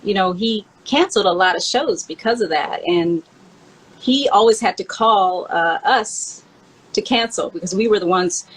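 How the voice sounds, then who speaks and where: A woman's voice: medium pitch, moderate pace, husky, poor audio. A woman in a casual conversation, reminiscing in a candid, conversational way. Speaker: host and memoir author counting down favorite songs. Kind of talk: casual chat, personal reminiscing.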